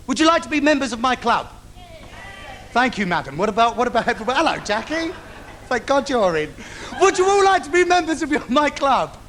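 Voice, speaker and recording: Very high-pitched camp panto comic. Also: male voice, sing-song, fairly quick. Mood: cheeky, camp. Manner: camp, bitchy, exaggerated calling out to the audience. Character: Wishy Washy, camp cheeky young pantomime comic sidekick man. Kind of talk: adult pantomime stage comedy with audience banter. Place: theatre stage. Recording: noisy live theatre stage recording.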